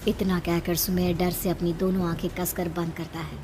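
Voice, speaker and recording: A woman's voice: on the low side, flat delivery, moderate pace, mellow, a little hiss or hum. A woman giving scripted narration of a horror story as its narrator.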